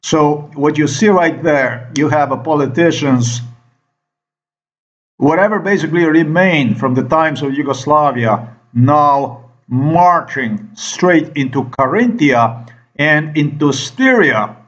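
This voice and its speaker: A man from Slovenia with a Slovenian accent, giving an unscripted monologue in a halting, emphatic and profane manner. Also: on the high side, animated. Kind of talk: unscripted monologue commentary over screen recording. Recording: dull lo-fi microphone sound.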